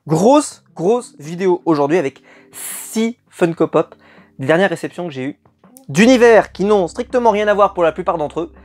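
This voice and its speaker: A man's voice: high voice, animated, normal speed, a little mellow. Chatty enthusiastic young Frenchman vlogging. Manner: chatty and enthusiastic, lots of hesitant fillers. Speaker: YouTube collector channel host. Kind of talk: casual unboxing chat to camera.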